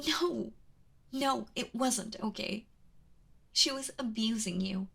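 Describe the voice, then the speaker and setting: A woman playing a kind but obsessive, protective yandere in an intimate ASMR roleplay, speaking haltingly and often dropping toward a whisper. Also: a little high, fairly bright tone, full character voice. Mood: indignant, pained. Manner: hesitant, halting, breathy with drops into whispering.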